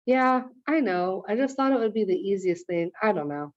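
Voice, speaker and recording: A woman's voice: medium pitch, expressive, normal speed, lo-fi sound, close to an everyday voice. Woman reading as an anxious, protective young boy. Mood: irritated. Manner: earnest, strained.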